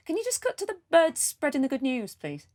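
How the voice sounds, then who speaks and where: Slick, high-voiced controlling adviser. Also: female voice, huge pitch swings, brisk, darkish tone, no room sound, clean studio sound. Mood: firm, placating. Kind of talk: satirical audio play acting.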